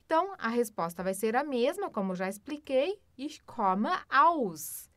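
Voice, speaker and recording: A Brazilian woman, around her thirties, teaches German with patient explanations and lots of quick check-ins with the listener. Her fairly high voice moves up and down a lot. The recording is dry and close, with no room sound. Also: big pitch swings, dry recording. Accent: Brazilian Portuguese. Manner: explains patiently, frequent tag questions, slow careful German examples. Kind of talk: language lesson.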